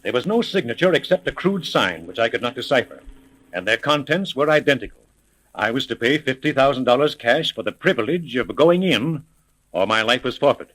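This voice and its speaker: Worried rich dad in 30s radio mystery, clipped n urgent, pitch moves a lot. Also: male voice, lively intonation, a little fast, fairly bright tone, low-quality recording. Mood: guarded. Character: wealthy, anxious father threatened with death. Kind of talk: 1930s mystery radio serial acting.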